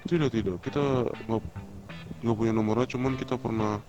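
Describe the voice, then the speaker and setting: A man's voice: mid-range voice, steady tone, a little fast, close to an everyday voice. A man roleplaying a cooperative street gang leader in an online game, talking informally and a little hesitantly. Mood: cooperative, casual. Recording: muffled game voice chat with music.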